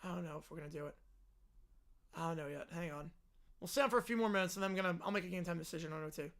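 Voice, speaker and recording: A man hosting a live sports reaction stream, chatting casually and answering viewers, his voice hoarse and worn out. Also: fairly high pitch, very fast, fairly bright tone. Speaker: livestream host.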